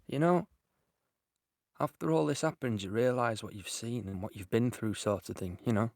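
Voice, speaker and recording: An actor playing a young British soldier, earnest and shaken, recalling the war haltingly in an audio drama. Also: male voice, mid-range voice, a little mellow, no echo, clean studio sound, lightly acted. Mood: sombre. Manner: halting, plain-spoken, reflective. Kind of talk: audio drama monologue, conversational recollection.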